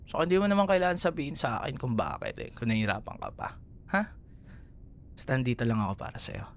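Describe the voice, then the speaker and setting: A man's voice: mid-range voice, sing-song, fast, slight background noise. A man with a Filipino accent playing an affectionate, teasing, protective young boyfriend in an intimate ASMR roleplay, speaking in a tender, soothing way. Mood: tender reassuring. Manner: tender, playful, soothing, softly murmured.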